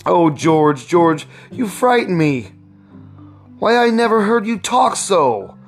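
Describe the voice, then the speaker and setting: A man reading a storybook, voicing a gentle, anxious young wife with a pleading, mournful manner. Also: medium pitch, steady tone, moderate pace, soft background music, lightly acted. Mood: frightened, pleading. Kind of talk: storybook read-aloud.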